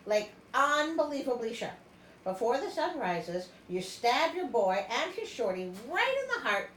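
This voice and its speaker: Woman playing an insistent, high-voiced young mermaid sister. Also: big pitch swings, a little slow, a bit bright, echoey room, a little hiss or hum, rough recording. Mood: slangy, eager. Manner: slangy, insistent. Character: urgent mermaid sisters, slangy, grimly bargaining.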